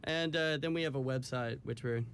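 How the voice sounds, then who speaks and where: A man talks as a musician guest in a studio interview. He is casual and laid-back, feeling his way through his answers. There is a faint low rumble in the recording. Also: a little fast, a bit bright. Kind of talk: casual chat. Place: radio studio.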